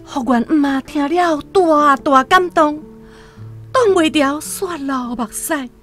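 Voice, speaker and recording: A woman's voice: high voice, takes it slowly. A woman with a Taiwanese accent narrating a scripted literary radio story expressively. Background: soft plucked-string music.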